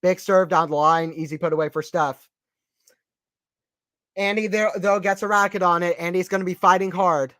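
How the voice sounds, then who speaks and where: A man's voice: sits high, moderate pace, long pauses, no echo, clean studio sound. A man from the American South with a slight Southern accent, giving casual live play-by-play commentary on a tennis match and chatting with viewers. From Atlanta, Georgia, US. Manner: excitable, rises sharply on big points; casual, chatty, answers chat questions.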